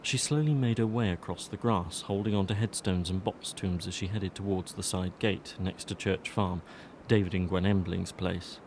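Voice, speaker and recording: A man's voice: deep voice, fairly even intonation, moderate pace, a little mellow, slight background noise. A man reading scripted narration for an audiobook drama as its storyteller.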